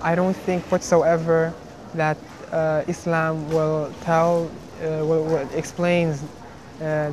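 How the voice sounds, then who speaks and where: A young man from Somalia speaks earnestly, pausing and restarting often. His pitch sits a little high but barely moves. Music plays softly under him. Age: young adult. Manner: earnest, halting, many fillers and restarts. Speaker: engineering student. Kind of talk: interview testimony.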